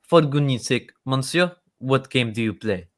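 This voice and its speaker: A man's voice: medium pitch, animated, moderate pace, lightly acted. A man playing an indignant working-class fisherman and smuggler in an old-time radio drama.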